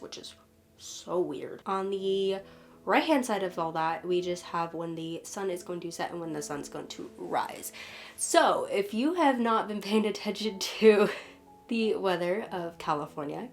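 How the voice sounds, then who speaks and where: A woman vlogger with a cold and a stuffed-up nose. She sounds nasal as she chats and rambles through her phone setup, often correcting herself. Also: fairly low pitch. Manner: rambling, talkative, self-correcting. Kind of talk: casual chatty show-and-tell. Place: home, humidifier running.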